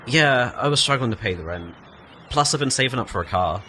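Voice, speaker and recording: A man's voice: mid-range voice, expressive, a little fast, sharp tone, a little hiss or hum. A man playing a laid-back, modest young former musician in an audio play, talking casually and self-deprecatingly. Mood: modest, matter-of-fact. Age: young adult. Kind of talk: naturalistic audio play dialogue.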